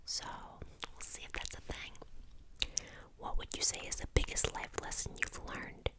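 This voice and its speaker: A woman in her twenties from the United States, whispering an ASMR question-and-answer session in a chatty way with lots of "um" and "like". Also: moderate pace. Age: 20s. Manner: soft unvoiced whisper, chatty with many fillers. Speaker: ASMR channel host.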